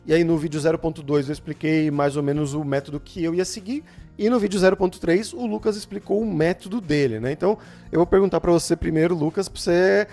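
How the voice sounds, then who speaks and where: A young Brazilian man with a Brazilian Portuguese accent hosts a relaxed conversation in a somewhat high voice. He steers the talk, recapping and putting questions to his partner, with faint music in the background. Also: fast. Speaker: channel host. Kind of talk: casual chat.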